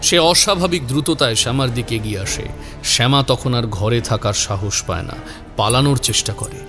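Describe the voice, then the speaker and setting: A man's voice: medium pitch, moderate pace, over music. A man narrating a scripted horror story in a dramatic style.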